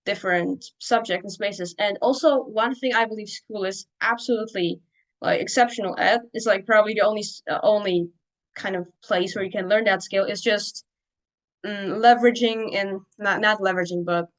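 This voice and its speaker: A woman's voice: mid-range voice, fairly even intonation, moderate pace. A young woman, a native Czech speaker, talking casually as a podcast guest, loose and full of fillers and restarts. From Czech Republic. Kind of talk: casual interview talk.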